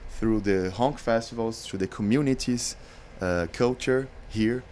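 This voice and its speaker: Brazilian band member, halting English, faint room hiss. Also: male voice, mid-range voice, darkish tone. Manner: searching for words in a second language. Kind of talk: radio interview.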